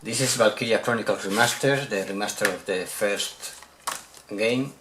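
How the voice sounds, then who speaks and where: A man from Spain with a Spanish accent, a Spanish speaker talking in English in a casual unboxing vlog about his newest video games, often halting and repeating words as he searches for them. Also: fairly low pitch, loud music behind the voice. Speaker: video game collector showing purchases.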